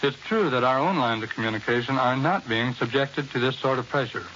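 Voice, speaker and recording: An American man giving a formal, measured, scripted war correspondent's report on 1940s radio. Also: medium pitch, a bit bright, muffled recording. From United States. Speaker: war correspondent, interview guest. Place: New York radio studio. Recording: hissy, band-limited 1940s radio recording.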